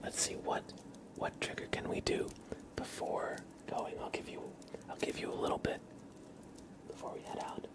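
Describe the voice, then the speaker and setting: A man hosting an ASMR livestream in a soft, hushed whisper and low murmur, gently and unhurriedly talking to his viewers. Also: moderate pace, pauses often. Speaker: livestream host.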